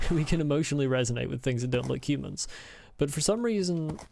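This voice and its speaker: Gravelly man with a mild Scottish accent. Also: fairly high pitch, brisk, a little mellow, a little hiss or hum. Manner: chatty, rambling, breaks into blunt swearing rants. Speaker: streamer. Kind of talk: casual live-stream chat while gaming.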